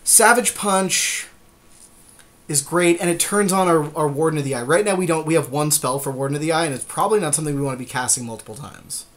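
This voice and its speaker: Male podcast co-host with a fairly high voice, chatty and colloquial, casual gaming commentary, readily agreeable. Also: fast talker, fairly bright tone. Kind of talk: casual card game draft commentary.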